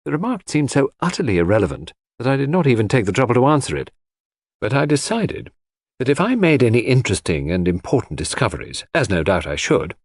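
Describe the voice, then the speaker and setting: A man's voice: mid-range voice, husky, dry recording, clean studio sound. A man reading scripted dramatic narration as an audiobook narrator.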